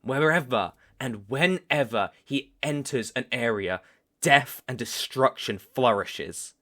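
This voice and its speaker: A young British man playing a stern, taunting vampire hunter in a roleplay audio drama, speaking deliberately and with cool menace. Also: medium pitch, expressive, pushed and bright. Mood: grave, hostile. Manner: slow, deliberate, threatening.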